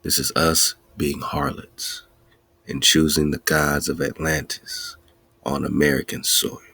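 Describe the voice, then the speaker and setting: A man's voice: extremely low pitch, big pitch swings, a little slow, creaky, bright, forceful tone, husky, no echo. A man hosting a podcast, giving a spiritual teaching talk in a preachy way, repeating and chanting lists and laughing between points. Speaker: podcast host.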